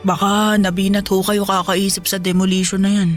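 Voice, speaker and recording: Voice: medium pitch, little pitch movement, fairly quick, faint music behind, natural voice. A performer voicing a poor young boy, an anxious and devoted son, in Filipino radio drama style, with pleading, respectful delivery. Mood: worried, tender. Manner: pleading, respectful toward his father.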